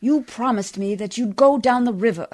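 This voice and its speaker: A woman's voice: a little high, normal speed, a little mellow, natural voice. A woman acting a prim, pious, stern lady with formal, reproving speech in a radio play. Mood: reproachful.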